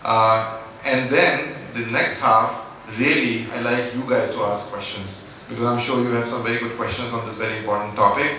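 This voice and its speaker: A man from India moderates a panel from a distance, his bright, forceful voice ringing through a large echoing hall. He talks politely and hesitantly, with plenty of fillers, over a faint hiss. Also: medium pitch, fairly even intonation, normal speed. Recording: echoey hall from far off.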